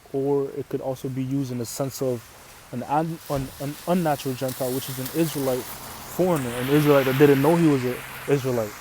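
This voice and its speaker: A man from Canada whose first language is Arabic explains scripture in a relaxed, chatty way, often breaking off to ask "right?" His voice is warm and mellow at an ordinary pitch, with faint noise in the background. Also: low-quality recording. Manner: casual, repeats "right?" often, restarts phrases. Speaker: religious teacher. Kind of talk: informal scripture teaching.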